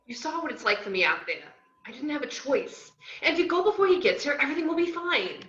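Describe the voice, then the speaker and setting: Woman playing Harley Quinn, high and excitable. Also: fast talker, roomy sound. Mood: guilty, pleading. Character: Harley Quinn, excitable, cartoonish young villainess. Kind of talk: table read of a film script. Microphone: computer on a video call. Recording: noisy, muffled home video-call audio.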